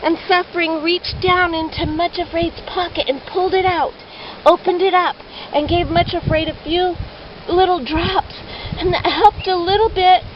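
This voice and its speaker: A woman's voice: very high voice, fairly even intonation, normal speed. A woman storyteller narrating a children's allegory in simple, dramatic read-aloud phrasing. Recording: muffled, noisy amateur recording.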